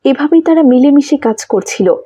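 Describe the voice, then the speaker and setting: A woman narrating a scripted children's story, with a husky, mellow voice. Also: sits high, animated, normal speed.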